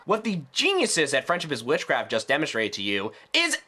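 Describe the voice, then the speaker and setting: A man's voice: on the high side, wildly swooping pitch, moderate pace, bright, forceful tone, slightly rough. A man delivering a scripted critical review in a ranting, sarcastic, exasperated manner.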